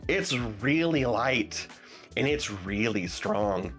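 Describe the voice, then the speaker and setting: Young male gear vlogger, slightly stuffed up, very animated pitch, loose and rambling. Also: slow, soft background music. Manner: rambling, restarts often, asks viewers "you feel me?". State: stuffed up after being sick. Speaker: tech vlogger. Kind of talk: casual rambling product talk.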